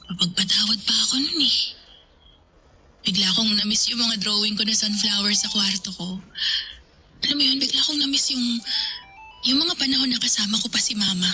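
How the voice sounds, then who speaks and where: An actress playing a tired, troubled young woman who speaks softly and confides in a radio drama. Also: mid-range voice, brisk, pauses often, close to an everyday voice. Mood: sad. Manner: soft, tired, confiding, at times whispering. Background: loud dramatic music.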